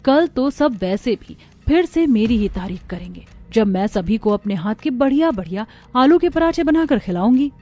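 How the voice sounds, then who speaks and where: A woman's voice: a little high, lively intonation, moderate pace, few pauses, dark tone. A woman playing a jealous, boastful daughter-in-law muttering to herself in an acted family story. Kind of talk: acted inner monologue.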